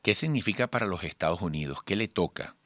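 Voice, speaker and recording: Radio host guy, lowish voice, jumps in to sum up what the guest means. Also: animated, fast talker, a bit bright, no room sound. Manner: interjects and paraphrases the guest's points. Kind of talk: radio news interview.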